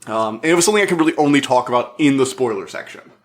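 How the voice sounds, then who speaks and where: A man hosting a book review podcast, talking casually and a little hoarse, rambling and restarting his thoughts. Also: medium pitch, animated, very fast. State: slightly hoarse. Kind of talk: casual spoiler review monologue.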